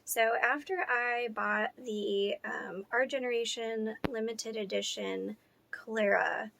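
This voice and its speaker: A hoarse woman in her thirties talks casually through an unboxing. Her voice has a gravelly fry, and she fills her sentences with ums, likes and restarts. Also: fairly high pitch, fairly even intonation, takes it slowly, noisy background. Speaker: doll collector vlogger.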